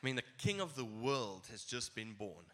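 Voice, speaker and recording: A man's voice: mid-range voice, sing-song, moderate pace, fairly bright tone. Nasal-sounding man preaching with a cold. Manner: casual, chuckling asides. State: stuffy blocked nose. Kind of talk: sermon.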